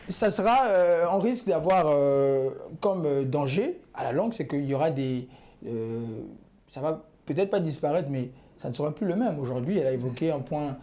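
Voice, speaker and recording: A young man with a somewhat high but mellow voice debating as a panel guest. He argues earnestly, stumbling through fillers and restarts. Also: lively intonation, normal speed. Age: young adult. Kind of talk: panel discussion.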